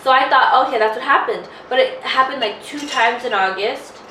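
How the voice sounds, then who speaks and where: A woman's voice: sits high, moderate pace, bright, forceful tone, a little hiss or hum. A woman in her twenties, a podcast co-host, telling a personal story in casual chat with lots of "like" and candid detail. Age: 20s. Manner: candid rambling storytelling, heavy on "like". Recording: roomy, distant mic.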